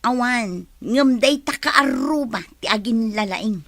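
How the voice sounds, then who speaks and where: A woman's voice: a little high, lively intonation, slow pace, a bit bright, dry recording, heavily acted. A woman playing a hesitant, worrying character in a radio drama. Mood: worried, hesitant.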